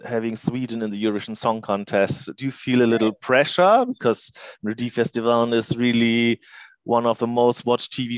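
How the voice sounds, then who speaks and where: Male interviewer, smooth resonant voice, dry sound, halting with fillers, headset mic. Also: medium pitch, no room sound. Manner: halting, many fillers, non-native phrasing. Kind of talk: casual interview over video call.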